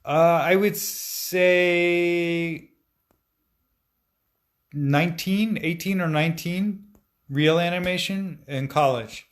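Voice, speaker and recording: A man in his forties, a stop-motion animator, answering viewer questions in a relaxed, chatty way with frequent fillers and restarts. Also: high-pitched, slow pace, long pauses. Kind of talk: casual live-stream Q&A, reading viewer comments aloud.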